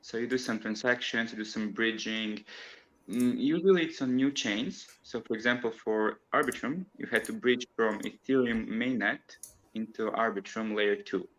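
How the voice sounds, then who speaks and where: A man's voice: on the low side, moderate pace, fairly bright tone. A man from Croatia, a guest on a casual online chat, talking in a chatty way and laughing often as he explains.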